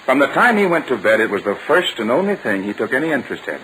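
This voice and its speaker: An actor playing a patient, reasonable middle-aged father in a 1940s radio sitcom, measured and firm, on a noisy vintage broadcast. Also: medium pitch, sing-song, fairly bright tone.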